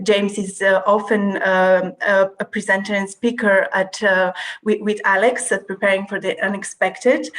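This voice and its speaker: A woman with a Bulgarian accent, a podcast guest, telling her story in a measured, detailed way with some hesitations. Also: medium pitch. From Bulgaria, Russia and Cyprus. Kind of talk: conversational interview.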